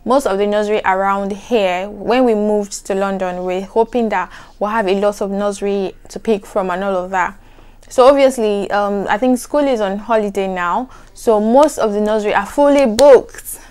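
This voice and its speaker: A young adult woman with a soft, soothing voice, chatting to camera in an animated, emphatic way. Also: mid-range voice, moderate pace, clear, resonant tone. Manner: animated, emphatic, repeats "trust me". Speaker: vlogger. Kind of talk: casual chat to camera.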